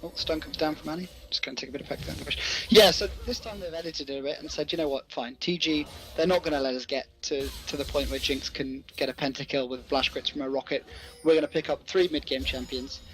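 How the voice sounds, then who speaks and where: A man's voice: a little high, steady tone, fast talker, poor audio. A man doing casual esports commentary, thinking aloud as he analyses and calls the play.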